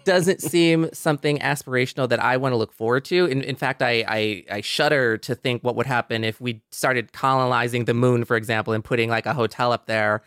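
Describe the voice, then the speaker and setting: Male panelist, smooth clear mid-range voice, earnest and conversational, dry close recording. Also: medium pitch, steady tone, a little fast, hardly any pauses, fairly bright tone, no room sound. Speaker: podcast panelist. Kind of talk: casual panel discussion.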